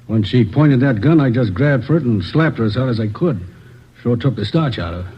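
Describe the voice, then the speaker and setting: An actor playing a talkative motorist who is pleased with himself, in a 1950s radio drama. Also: male voice, medium pitch, expressive, a little fast, a little hiss or hum, close to an everyday voice. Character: chatty, self-satisfied male motorist. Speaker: witness, vacationer. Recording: dull old radio broadcast.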